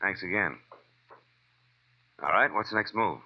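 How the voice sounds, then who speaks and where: An American actor playing a wry, wisecracking hardboiled private detective in 1940s radio noir style. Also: male voice, low voice, measured pace. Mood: dry, bantering. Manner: wisecracking, laconic. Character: wry hardboiled private detective, world-weary but game. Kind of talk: 1940s radio noir acting, first-person narration and dialogue. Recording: dull vintage radio recording with music.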